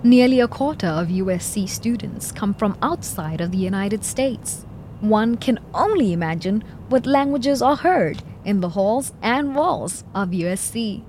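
Lively young woman news reporter. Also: mid-range voice, a little slow, slight background noise. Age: young adult. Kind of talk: scripted news feature.

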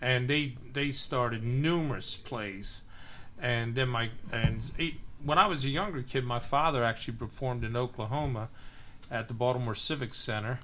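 A man's voice: medium pitch, moderate pace, bright, forceful tone, slight background noise. A middle-aged man, a guest on a casual podcast, telling stories at length. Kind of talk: casual chat.

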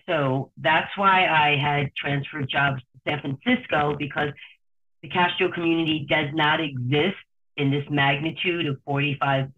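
A woman with a New Jersey accent speaks over a thin, tinny phone line. She owns a tour company and answers interview questions in a steady, storytelling way. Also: low voice. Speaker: tour company owner, guest.

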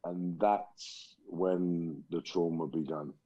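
Deep, dark-voiced man. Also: fairly even intonation, no echo, muffled recording. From England. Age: 40s. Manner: slow, reflective, many pauses and ums. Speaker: guest. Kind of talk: life story interview.

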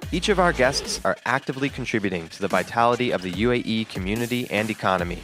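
Low male announcer over music. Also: expressive, fast talker. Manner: polished, read from script. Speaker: show announcer. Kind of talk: scripted show intro and outro.

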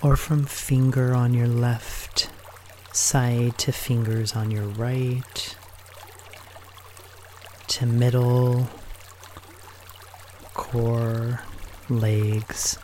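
A man leading a guided meditation in a gentle, unhurried manner, leaving pauses between short phrases. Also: medium pitch, level tone, slight background noise.

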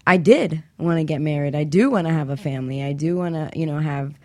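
A woman's voice: low-pitched, fairly quick, mellow, no room sound. A woman on a talk show chatting candidly and casually about herself, a little hesitant as she opens up. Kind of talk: candid casual personal chat.

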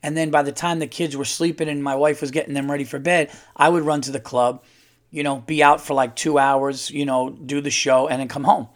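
Slightly hoarse man hosting a podcast. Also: a little high, fairly even intonation, a little fast. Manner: rambling, chatty, many fillers and restarts. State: slightly hoarse, losing his voice. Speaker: podcast host and comedian. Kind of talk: casual solo podcast monologue.

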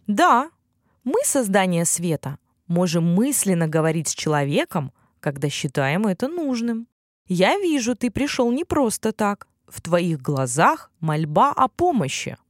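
Woman as a proud, expressive magical unicorn. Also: on the low side, no room sound, studio quality. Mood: solemn. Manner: formal, measured, admonishing. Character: wise, stern, proud magical unicorn speaking to a king.

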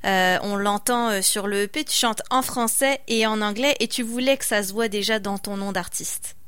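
A woman's voice: mid-range voice, moderate pace, fairly bright tone, clear, resonant tone, no room sound, clean studio sound. A woman hosting an interview, encouraging her guest and affirming with frequent murmurs. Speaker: interview host.

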